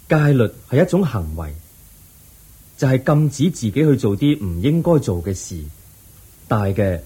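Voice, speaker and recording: A serene, patient sage, voiced by a man explains his teachings in a low, husky voice. The dark, mellow tone still carries a lively pitch for a radio play. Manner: calm, patient, instructive. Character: calm, wise male Buddha teaching. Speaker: narrator and lead actor. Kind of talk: radio drama acting.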